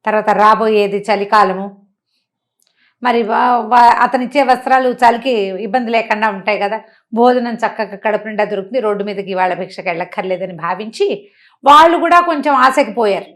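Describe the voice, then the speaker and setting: A woman telling a moral tale in a conversational, animated way, putting questions to the listener and acting out dialogue. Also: mid-range voice, fairly quick. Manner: conversational, uses rhetorical questions and acts out dialogue. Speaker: storyteller and motivational speaker.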